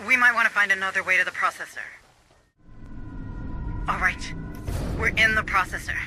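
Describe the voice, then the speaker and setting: A woman playing a brisk, authoritative military officer in a video game, commanding and businesslike. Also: medium pitch, bright, forceful tone, faint music behind. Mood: composed, businesslike.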